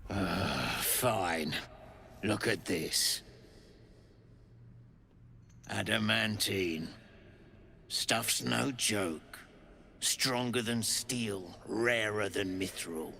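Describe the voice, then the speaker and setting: An actor playing a gruff, bossy, foul-mouthed dwarf overseer of slaves in a video game, talking in curt earthy slang. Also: male voice, lowish voice, drawn-out words, pauses often, bright, forceful tone, slight background noise, full character voice. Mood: grudging, gruff. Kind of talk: video game character acting.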